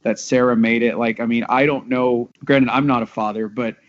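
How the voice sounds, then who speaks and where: Male panelist, medium pitch, earnest and thoughtful, casual delivery, muffled lo-fi recording. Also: fairly even intonation, normal speed. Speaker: audio drama cast member. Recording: dull lo-fi sound.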